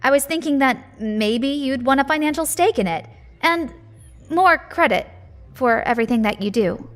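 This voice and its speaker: A woman's voice: high-pitched, expressive, normal speed. A woman acting a sly, flippant shop owner and secret agent in a naturalistic audio drama. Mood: breezy, apologetic. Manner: flippant, sardonic, breezy.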